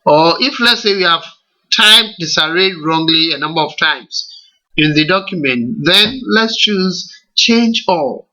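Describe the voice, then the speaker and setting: A man's voice: sits high, expressive, bright, forceful tone, muffled recording. A man with a Ghanaian English accent, walking learners through a computer tutorial step by step and asking them rhetorical questions. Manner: slow, deliberate step-by-step instruction with rhetorical questions. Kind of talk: screen-recorded software tutorial.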